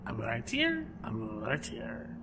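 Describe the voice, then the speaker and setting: A man's voice: mid-range voice, wildly swooping pitch, takes it slowly, heavy background noise, full character voice. A man playing a timid, bumbling archive assistant in a sci-fi audio drama, hesitant, stammering and apologetic. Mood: cowering. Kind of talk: fan audio drama acting.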